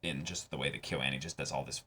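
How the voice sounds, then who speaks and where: A man co-hosting a reaction show, talking casually and thinking aloud in halting phrases as he discusses a show. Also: a bass voice, fairly even intonation, sharp tone, slight background noise. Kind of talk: casual discussion of an anime episode.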